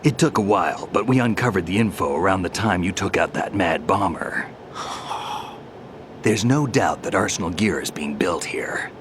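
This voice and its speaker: A man playing a grizzled, world-weary veteran soldier in a video game, terse and deadpan with a gravelly voice. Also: deep voice, a little slow, background noise. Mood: grave, reflective. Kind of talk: dramatic video game voice acting.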